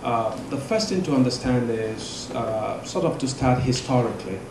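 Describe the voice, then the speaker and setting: A man's voice: medium pitch, background noise. Nigerian man lecturing in echoey room. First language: Igbo. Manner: measured, halting, many fillers. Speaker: guest speaker. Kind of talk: public lecture.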